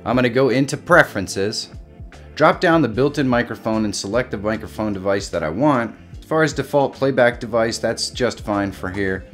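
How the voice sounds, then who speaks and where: Middle-aged man, American accent, tutorial host, casual walkthrough, medium pitch, light background music. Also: moderate pace.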